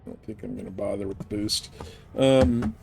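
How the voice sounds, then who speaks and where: A man's voice: fairly low pitch, normal speed, vocal fry, warm tone, soft background music. A man livestreaming a space game, chatting casually and rambling informally as he thinks aloud. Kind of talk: casual chat while playing a space game.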